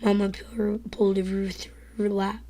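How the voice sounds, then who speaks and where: A teenage girl with a hoarse, worn-out voice reading a novel aloud live, whispering and stumbling over words as she goes. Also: medium pitch, little pitch movement, normal speed, noisy background. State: hoarse, lost voice.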